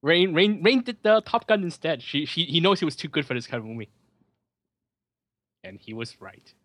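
A man's voice: a little high, lively intonation, normal speed, fairly bright tone, no room sound. Sardonic man chatting over a movie. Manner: sardonic, joking. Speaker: film commentary podcast host. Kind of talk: casual chat, running movie commentary.

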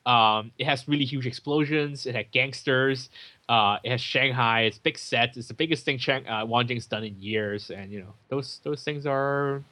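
A man's voice: medium pitch, moderate pace, sharp tone. A man talking casually about a film as a podcast co-host, with lots of fillers and restarts. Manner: chatty, many fillers and restarts.

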